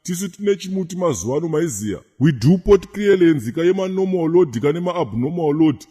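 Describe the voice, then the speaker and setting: Swaggering cartoon ad pitchman, acted by a man: Zimbabwean, fast, mellow, boastful millionaire slang. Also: expressive, breathy edge. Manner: slangy, boastful sales patter. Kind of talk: comic cartoon advertisement.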